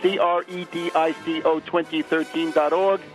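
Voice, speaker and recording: A fairly high-pitched American man hosts a political radio programme with music behind him. His delivery is measured and halting, carefully setting out details for listeners. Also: flat delivery. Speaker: radio show host. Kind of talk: political radio interview and commentary.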